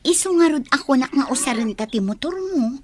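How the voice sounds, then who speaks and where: Boastful young ilocano girl, high lilting voice, gushy, radio drama. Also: lively intonation, fairly quick, a little hiss or hum. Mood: coaxing. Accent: Ilocano Filipino. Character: boastful young woman chasing a rich boyfriend.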